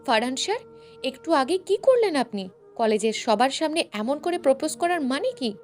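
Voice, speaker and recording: A woman's voice: high voice, very animated, moderate pace, soft background music. A woman voicing a fiery, outspoken young female student in a story, indignant and scolding. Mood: angry. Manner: sharp, scolding.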